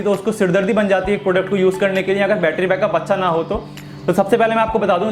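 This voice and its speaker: A man giving an informal gadget review, explaining things step by step with repetitive phrasing. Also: sits high. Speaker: product reviewer. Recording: echoey room, faint music behind.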